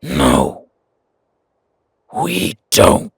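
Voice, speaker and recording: A man playing a surly, hot-tempered werewolf in an audio drama, terse and grudging, with a raspy, gravelly growl. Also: extremely low pitch, wildly swooping pitch, drawn-out words, no room sound, exaggerated voice. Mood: growling anger. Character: surly, hot-tempered male werewolf who hates vampires. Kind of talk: audio roleplay drama acting.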